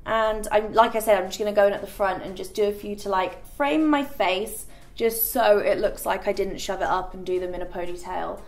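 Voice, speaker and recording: A woman's voice: mid-range voice, a little fast, hardly any pauses. A young woman, chatting casually in a get-ready-with-me vlog and filling her talk with "like". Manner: chatty, filler-heavy with frequent "like". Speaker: beauty vlogger. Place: bright living room set.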